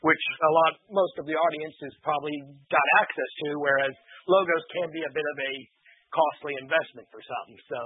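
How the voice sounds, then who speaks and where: A man hosting an online talk radio show, congested from allergies and a little raspy, explaining things in a relaxed, rambling way. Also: on the high side, brisk, dry recording. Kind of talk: casual talk radio chat. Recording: dry, tinny phone-like line.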